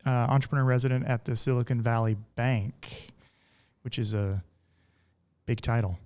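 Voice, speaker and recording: A man hosting an interview, asking easygoing, halting questions with frequent fillers. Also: mid-range voice, steady tone, darkish tone, clean tone. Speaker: podcast host. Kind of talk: casual interview conversation.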